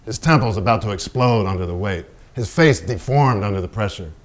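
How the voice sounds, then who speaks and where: A man acting in a staged reading as a solemn narrator and interviewer, with formal, deliberate, pausing phrases. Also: lowish voice, expressive, natural voice. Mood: ominous, dramatic. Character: measured, solemn male narrator and polite interviewer. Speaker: stage actor.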